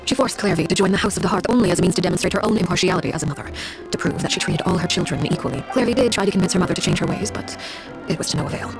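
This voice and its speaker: A woman acts out a cold, self-possessed commander from a fantasy video game. Her low voice has a creaky edge, and she tells grim tales in formal, unsentimental phrases. Soft music plays behind her. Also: close to an everyday voice. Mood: somber, measured. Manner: measured, formal, unsentimental storytelling. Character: cold, composed woman, a Harbinger telling her grim past. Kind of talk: scripted dramatic game dialogue.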